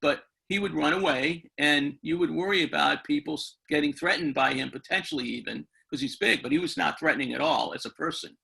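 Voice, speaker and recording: A man's voice: a little high, a little fast, gravelly, few pauses, bright, forceful tone, rough recording. A man hosting an informal webinar interview, rambling and circling back, often seeking agreement from his guests. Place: remote webinar call.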